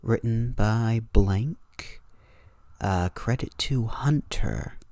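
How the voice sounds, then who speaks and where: A man's voice: lowish voice, drawn-out words, a little mellow, no echo. A man hosting and narrating horror stories in a dramatic reading style. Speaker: host and narrator.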